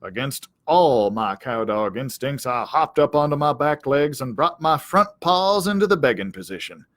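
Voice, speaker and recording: Man reading stories with lively, expressive pitch. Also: moderate pace, no room sound. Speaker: library storyteller reading aloud. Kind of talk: expressive children's story narration.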